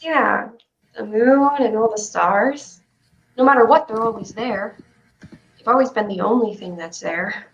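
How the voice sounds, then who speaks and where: Woman playing high-voiced anxious child, muffled stream. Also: a little slow, vocal fry. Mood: wistful. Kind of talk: staged reading of a play. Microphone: video call. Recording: muffled livestream call audio.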